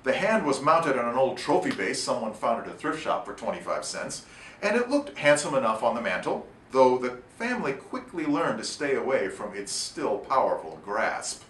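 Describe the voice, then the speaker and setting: A man reading his own short story aloud in an expressive, wry and deliberate storytelling manner. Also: mid-range voice. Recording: echoey room, distant mic, faint noise.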